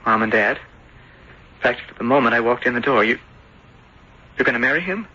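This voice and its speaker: An actor playing an earnest, lovesick young seafarer back home, speaking haltingly in radio drama style. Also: male voice, medium pitch, animated, pushed and bright, slightly rough. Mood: tender, hesitant. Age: young adult. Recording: dull, noisy old radio broadcast.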